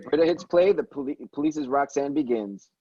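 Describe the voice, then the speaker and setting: A man reads screenplay action lines as a scripted narrator. His tone is relaxed and a little husky. The recording is dry and slightly dull. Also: on the high side, normal speed, no echo. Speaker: stage-direction reader at a table read.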